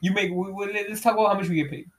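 A young man with a fairly high voice hosting a casual podcast, talking in laid-back slang, swearing freely and laughing often. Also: racing. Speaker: podcast host. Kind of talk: casual chat with heavy swearing.

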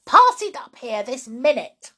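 Storybook grandmother, acted by a woman: elderly bullying hag, greedy, loud, self-pitying, very animated intonation. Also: high-pitched, a little slow, husky, voice pushed up, exaggerated voice. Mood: furious. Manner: shouting, accusing, self-pitying.

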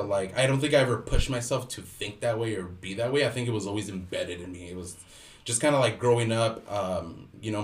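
A man in his thirties, born in Mexico and raised in Los Angeles, chatting casually as a podcast guest, laid-back and slangy with lots of fillers. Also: on the low side. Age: 30s. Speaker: guest entrepreneur. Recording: roomy sound, off-mic.

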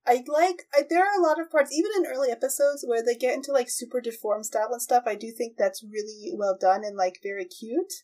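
A woman with a fairly high voice talks as the host of a casual podcast. She thinks aloud, often qualifying what she says. The delivery is conversational and tentative. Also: fairly quick, few pauses, darkish tone. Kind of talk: casual chat about anime.

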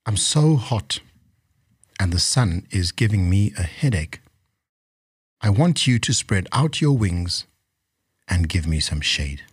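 A man voicing a weary, stern old African chief in a storybook, with a husky voice. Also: low voice, sing-song, slow pace, long pauses, dry recording, clean studio sound, voice pushed down, exaggerated voice. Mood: weary, complaining. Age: older. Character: tired, aggrieved old African chief with magic. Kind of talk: storybook character voice.

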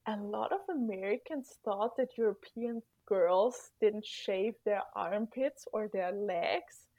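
Young Austrian woman, former exchange student, podcast guest, unhurried and earnest, dry close sound. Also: fairly high pitch, gravelly, no room sound. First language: German. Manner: thoughtful, earnest, with soft laughs and fillers. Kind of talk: podcast interview answers.

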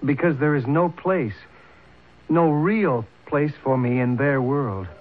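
Proud young man, tinny radio. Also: fairly high pitch. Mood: troubled, earnest. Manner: formal, deliberate phrasing. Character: proud, earnest young man torn between two peoples. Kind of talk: old-time radio drama acting. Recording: tinny, hissy old radio broadcast.

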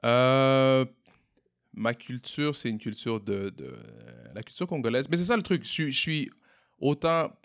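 A young man from Montreal with a Québécois French accent, answering interview questions in a relaxed way, often repeating and restarting words. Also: medium pitch, measured pace. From Montreal, Quebec. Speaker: entrepreneur guest. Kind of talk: casual interview conversation.

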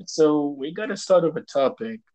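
Young male host, higher voice cracking. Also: animated, normal speed, a little mellow. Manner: rambling, filler-heavy, laughing. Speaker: podcast host. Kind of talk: casual chat.

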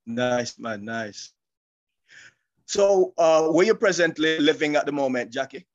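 A man's voice: fairly high pitch, lively intonation, a little slow, no echo, lo-fi sound. An older man, chatting casually as he interviews a guest.

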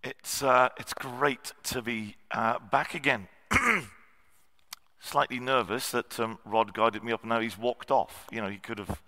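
A man, a guest preacher with a slightly husky voice, giving a sermon with many ums and cleared throats. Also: medium pitch, lively intonation, a little slow, pushed and bright. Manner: self-deprecating, frequent ums and throat clearing. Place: church hall. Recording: long church hall reverb.

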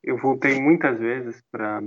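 A man's voice: medium pitch, slow, a bit bright, lo-fi sound, a little hiss or hum. A French man speaking Portuguese as a second language, a guest chatting in an interview, hesitant with many restarts and fillers. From France. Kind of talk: casual interview chat.

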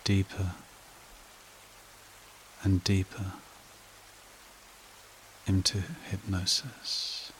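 A man leading a guided meditation and hypnosis in a hushed, soothing, repetitive way with long pauses. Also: deep voice, completely flat delivery, a little hiss or hum.

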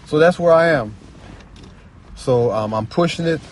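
A man's voice: fairly high pitch, a little slow, slight background noise. A man speaking as a writer and storyteller in earnest, reflective personal talk, repeating phrases for emphasis.

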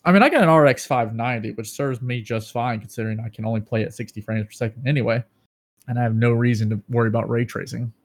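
A man co-hosting a casual gaming news podcast, talking excitedly about PC hardware and joking with his co-host. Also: medium pitch, quick pace. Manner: excitable, breaks into dramatic movie-quote impressions.

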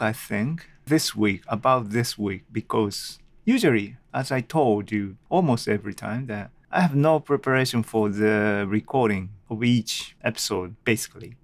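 A Japanese man with a Japanese accent hosting his own solo podcast, thinking aloud unscripted with many pauses and fillers, recorded at home on a desk microphone. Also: mid-range voice, dry recording. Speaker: podcast host. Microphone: desk microphone at a computer.

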